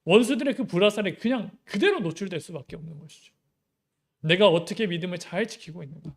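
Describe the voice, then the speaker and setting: A man's voice: high voice, fast. A young adult male pastor giving a Sunday sermon in an easy, conversational way, with many rhetorical questions.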